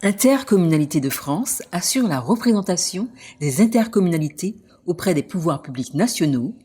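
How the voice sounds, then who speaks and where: A woman reading a scripted report narration in a measured, informative way. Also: low-pitched, dark tone, husky.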